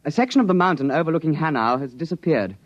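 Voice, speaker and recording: An earnest young-ranking lieutenant in 1950s radio style. He is deferential and hesitant, with a lightish, animated voice. The recording is old and muffled. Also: a little high, quick pace, a little hiss or hum. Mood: grave. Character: earnest, deferential male British junior army lieutenant.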